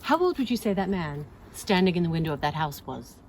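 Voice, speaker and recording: Very low-voiced, wistful, resigned woman, acted. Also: very animated, fairly quick, a little mellow. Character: wistful, disappointed woman musing on men. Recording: clearly noisy home recording.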